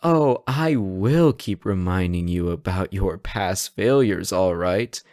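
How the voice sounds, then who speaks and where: A man's voice: on the low side, dry recording, studio quality, heavily acted. A man acting a sarcastic, teasing hostage who taunts a supervillain in a conversational, theatrical roleplay audio drama. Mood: incredulous, mocking.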